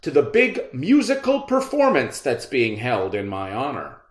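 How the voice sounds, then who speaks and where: A man's voice: medium pitch, wildly swooping pitch, unhurried, roomy sound. A man voicing a vain, silly emperor with a pompous, theatrical manner in a read-aloud storybook tale. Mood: commanding. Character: vain, silly emperor, commanding then nervously self-doubting.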